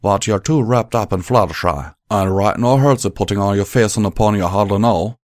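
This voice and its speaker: A man voicing a rough-spoken young male pony with a drawl, strained and emotional. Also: lowish voice, fairly quick, a little mellow, no echo, studio quality, natural voice.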